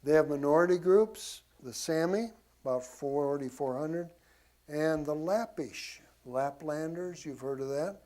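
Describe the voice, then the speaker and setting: A man's voice: a little high, measured pace, warm tone. A man giving an informal history lecture to a group, in a folksy way with casual asides to his listeners. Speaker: church class teacher.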